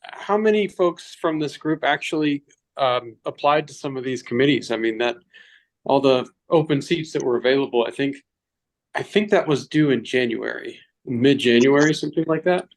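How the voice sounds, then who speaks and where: A man's voice: medium pitch, normal speed, low-quality recording. A man in an online meeting who hesitantly asks a polite question. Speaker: meeting participant. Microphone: video call.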